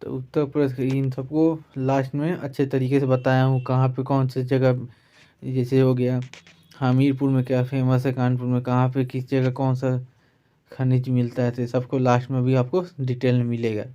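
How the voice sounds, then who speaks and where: A man's voice: medium pitch, completely flat delivery, normal speed, mellow, clean tone, dull audio. A man teaching an online exam-prep lesson, reading out facts point by point with a repeated tag after listed items. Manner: repetitive verbal tag after each listed item.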